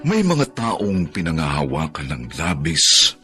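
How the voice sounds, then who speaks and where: A man giving solemn, grave and measured narration for a radio drama, in a preachy way. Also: extremely low pitch, huge pitch swings, over loud music.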